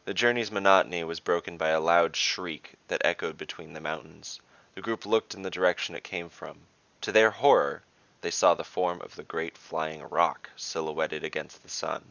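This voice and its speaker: Low-voiced man narrating a fantasy tale. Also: fairly even intonation, moderate pace, vocal fry, fairly bright tone, no echo, lo-fi sound. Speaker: storyteller and dungeon master, podcast host.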